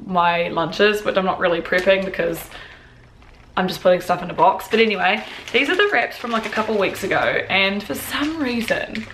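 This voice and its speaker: Raspy-voiced young New woman vlogging. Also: medium pitch, moderate pace, fairly bright tone, a little hiss or hum. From New Zealand. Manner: chatty, filler-heavy with frequent "like". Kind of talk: casual vlog talk to camera. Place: apartment living room and parked car. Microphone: camera held at arm's length.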